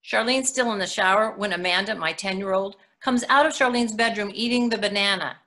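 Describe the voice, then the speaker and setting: Blunt, practical mother, played by a woman, mid-pitched and crisp-edged, for a comic stage monologue. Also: a little fast, bright, forceful tone, natural voice. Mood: matter-of-fact. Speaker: actor in a readers theatre.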